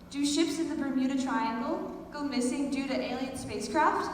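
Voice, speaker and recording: Teenage girl, theatrical monologue, high pitch, recorded from far off in a reverberant hall. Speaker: high school senior performing. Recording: very echoey hall, distant mic, faint noise.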